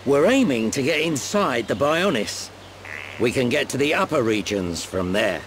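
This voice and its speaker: Gruff older game guide guy, acted by a man, knowing and grumbly, pitch moves a lot, rain noise behind. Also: expressive, normal speed, lightly acted. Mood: knowing, instructive. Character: gruff, worldly older male adventurer and guide. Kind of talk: video game cutscene acting.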